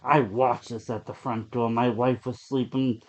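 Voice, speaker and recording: A man reading a horror story aloud as a dramatic narrator, with deliberate, suspenseful delivery. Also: medium pitch, little pitch movement. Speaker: story reader. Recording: dull, lo-fi sound.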